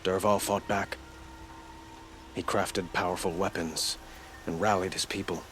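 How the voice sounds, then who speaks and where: Gracious, formal young king, low-key and earnest. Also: steady tone, fairly bright tone, slight background noise. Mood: solemn. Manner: measured, formal, courtly delivery. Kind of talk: video game cutscene acting.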